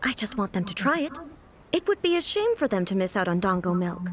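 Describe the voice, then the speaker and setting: A woman's voice: medium pitch, big pitch swings, a little fast, a little hiss or hum, close to an everyday voice. A woman voicing a regal, composed ruler in a video game, stately, formal and bluntly curious. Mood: puzzled. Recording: music behind the voice.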